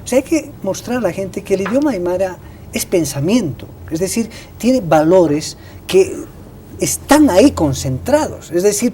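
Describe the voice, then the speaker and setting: A woman's voice: medium pitch, dark tone, slight background noise. A woman with a Bolivian Spanish accent, hosting an interview in a conversational way and feeling her way through her questions. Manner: hesitant, reformulating questions. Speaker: interview host.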